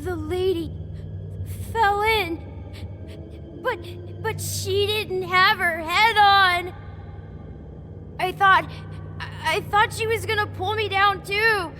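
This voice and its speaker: Very high-pitched timid little boy. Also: noisy background. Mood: tearful. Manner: halting, apologetic. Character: timid, frightened little toad boy, guilty and innocent. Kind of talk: video game voice acting.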